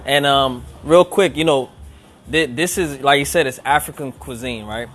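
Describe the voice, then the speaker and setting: A man's voice: medium pitch, normal speed, a bit bright. Easygoing man hosting a live interview. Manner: loose, conversational, lots of fillers. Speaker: show host. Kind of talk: casual live-streamed interview. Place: upstairs lounge of a restaurant. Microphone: handheld phone, no tripod.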